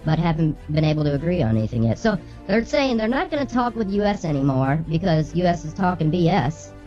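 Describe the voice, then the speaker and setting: A woman's voice: deep voice, very animated, brisk, warm tone. A brash, bossy woman co-hosting a show, reading the news with casual comic banter and swearing. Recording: slightly muffled, music underneath.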